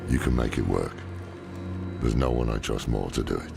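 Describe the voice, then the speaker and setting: An actor playing a grizzled old scholar-warrior mentor in a game cutscene, gruff and measured, with a gravelly voice. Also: male voice, extremely low pitch, full character voice. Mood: grave, firm. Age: older. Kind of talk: dramatic video game cutscene acting and narration. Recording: music under the voice.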